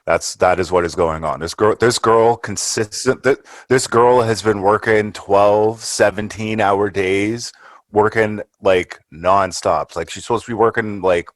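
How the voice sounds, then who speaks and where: Man, co-host, very deep voice, dry close sound, emphatic casual banter. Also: a little slow, no room sound. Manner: emphatic, repeating phrases for effect.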